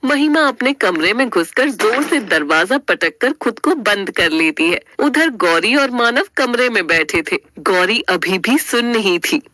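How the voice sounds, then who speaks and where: A woman's voice: a little high, expressive, a little slow, few pauses, sharp tone, no echo. A woman storyteller giving a dramatic scripted narration of a family story.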